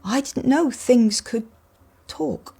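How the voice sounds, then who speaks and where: A woman voicing a young boy in a storybook, anxious, earnest and stubborn, with a breathy, urgent delivery. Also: on the high side, takes it slowly, mellow, voice pushed up, full character voice. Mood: nervous.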